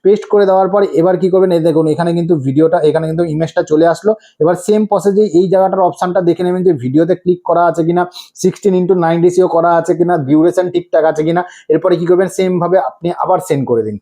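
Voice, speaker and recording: Fast-talking, high-pitched man giving instructions. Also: fairly even intonation, warm tone. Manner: instructive, repetitive, prompting viewers with rhetorical questions. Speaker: tutorial host. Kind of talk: step-by-step screen tutorial.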